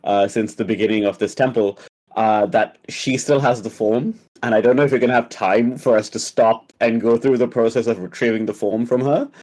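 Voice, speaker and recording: A man's voice: mid-range voice, fairly even intonation, a little fast, a little mellow. A man chatting and bantering casually as a player in a tabletop game.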